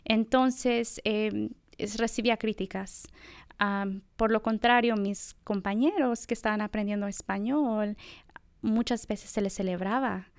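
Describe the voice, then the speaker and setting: Middle-aged woman academic, reflective interviewee, gravelly but clear, dry close sound. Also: mid-range voice, fairly even intonation, measured pace, no room sound. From United States. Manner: thoughtful, reflective, many fillers. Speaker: assistant professor of bilingual education. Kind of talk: conversational interview.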